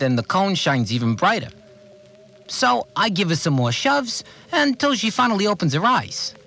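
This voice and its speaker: An actor playing an earnest, aggrieved cleaner who keeps an audio diary in a naive, rambling, complaining way. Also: male voice, sits high, creaky, bright, forceful tone, heavily acted. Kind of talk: audio drama monologue, conversational recorded log.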